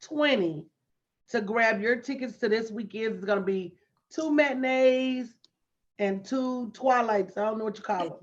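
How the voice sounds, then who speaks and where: A woman's voice: on the high side, a little slow. Woman on dull lo-fi stream audio. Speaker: co-host giving ticket info.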